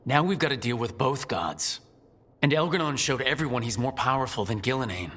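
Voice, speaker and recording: A man's voice: medium pitch, expressive, a little fast, a bit bright. A man playing a determined, decisive team leader in a fantasy video game. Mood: troubled.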